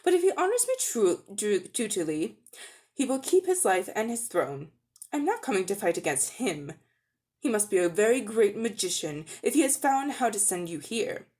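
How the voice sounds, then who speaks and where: A woman's voice: medium pitch, big pitch swings, normal speed, a little mellow, close to an everyday voice. Woman voicing a haughty, imperious sorceress queen, swooping delivery. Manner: grand, commanding, contemptuous. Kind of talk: dramatic storybook reading.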